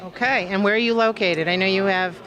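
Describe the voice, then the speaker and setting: A man's voice: high-pitched, fairly even intonation, fast, a crowd in the background, poor audio. A man works his way through an event, asking competitors short, friendly questions. His delivery is simple and direct, with no fuss. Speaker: event interviewer. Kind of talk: short on-the-spot interviews.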